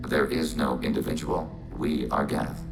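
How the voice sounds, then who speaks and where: An actor voicing a calm, literal Geth machine that speaks as a collective "we" in a flat, synthetic drone. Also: male voice, rumbling low, measured pace, music in the background. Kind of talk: video game cutscene acting. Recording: game audio with score.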